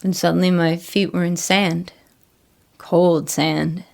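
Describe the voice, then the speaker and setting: Troubled, remorseful woman, horror drama confession: plain-spoken, earnest, lower-pitched, gravelly. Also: level tone, slow. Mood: frightened confession. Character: troubled, remorseful woman struggling with addiction, pleading to be believed. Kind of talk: first-person horror audio drama monologue.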